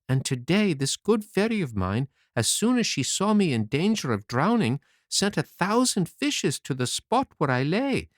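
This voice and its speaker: A man puts on a mischievous wooden marionette boy, cheeky and quick with a story. His voice leaps up and down in sing-song swings, recorded dry and close like a storybook audiobook. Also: fairly high pitch, sing-song, no echo. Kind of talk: audiobook character reading.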